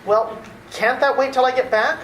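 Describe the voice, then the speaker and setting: A woman playing a harried, exasperated young nephew and theater critic, halting and repetitive, in old-time radio theater style. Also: high voice, fairly even intonation, fairly bright tone. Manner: halting, harried, repeating himself. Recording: echoey, faintly noisy stage recording.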